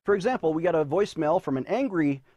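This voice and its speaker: American male religious TV host, high and swooping delivery, theatrical emphasis, dry studio sound. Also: lively intonation, fast talker, a little mellow, no room sound. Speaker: host and preacher of a religious news show. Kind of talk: presenting viewer letters with preaching commentary. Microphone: lapel mic.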